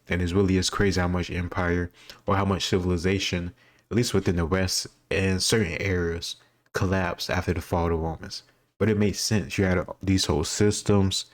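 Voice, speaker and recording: A man giving casual, off-the-cuff commentary as he answers quiz questions, wandering into explanatory asides. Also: low-pitched.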